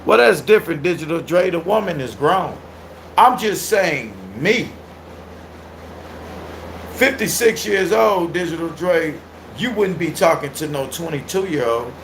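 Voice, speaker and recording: A man in his thirties hosting a casual livestream and reacting to viewer comments. He is loud, animated and crude, and laughs often. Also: a little high, unhurried, gravelly, a little hiss or hum, poor audio. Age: 30s. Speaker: livestream host.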